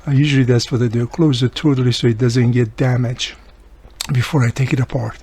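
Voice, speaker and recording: A man's voice: medium pitch, little pitch movement, a little fast, a little mellow, slightly rough. Chatty man thinking aloud through a tutorial. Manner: chatty, thinking aloud, often addressing the viewer. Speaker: host of a radio repair tutorial channel. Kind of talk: casual hands-on tutorial narration.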